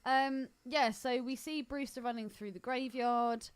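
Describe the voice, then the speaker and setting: Higher-voiced woman giving blunt film critique. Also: steady tone, unhurried, smooth. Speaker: podcast co-host. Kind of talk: casual film recap and critique.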